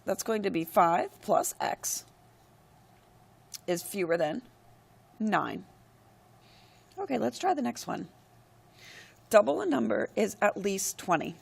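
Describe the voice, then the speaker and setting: A woman teacher talks through a math lesson while she records her screen. Her voice is hoarse and gravelly because she is losing it. She explains each step in a steady, methodical way. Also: medium pitch, unhurried, pauses often. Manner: steady, step-by-step explaining with frequent "all right, go ahead".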